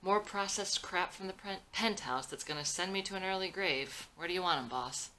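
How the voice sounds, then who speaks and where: A woman's voice: on the low side, normal speed, pushed and bright, voice pushed up. A woman voicing a blunt, teasing seventeen-year-old boy in a dramatic script reading. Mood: teasing, exasperated.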